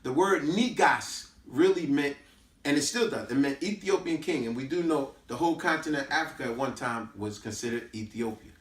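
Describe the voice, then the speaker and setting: A man's voice: on the high side, animated, a little fast. An American man giving an informal, preachy teaching talk, emphatic and repetitive, full of rhetorical questions. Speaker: host giving a talk on history and culture. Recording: echoey room, distant microphone.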